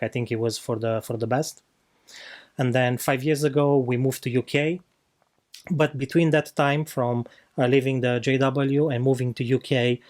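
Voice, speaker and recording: A young man speaks English with a Romanian accent, telling his own story on a podcast. He is earnest and searches for words, stopping and restarting often. His voice sits at an ordinary middle pitch for a man. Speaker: podcast co-host. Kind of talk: casual personal testimony. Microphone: video podcast mic.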